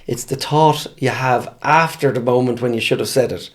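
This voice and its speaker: An Irish man co-hosting a casual bilingual chat, speaking haltingly as he repeats phrases and explains words. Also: medium pitch. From Ireland. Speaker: podcast co-host.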